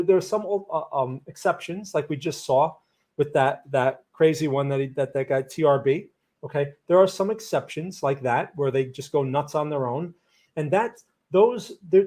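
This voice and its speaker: Jersey guy streaming trade analysis, kinda high-pitched, blunt and rambly. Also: dark tone. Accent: New Jersey. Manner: blunt, opinionated, rambling with restarts. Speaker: trading livestream host. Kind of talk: live market analysis, answering chat.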